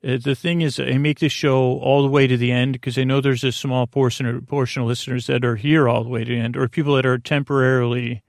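Rambling, creaky-voiced man hosting a bedtime podcast. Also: mid-range voice, flat delivery, no room sound, studio quality. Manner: meandering, halting, with trailing tangents; soothing, reassuring. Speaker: podcast host. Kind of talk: rambling bedtime story monologue.

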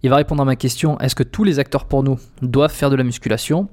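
A male podcast host with an ordinary mid-range voice addresses his audience directly. He keeps it conversational, pausing and hesitating as he goes. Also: mid-range voice, a little mellow. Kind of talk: podcast interview and listener appeal.

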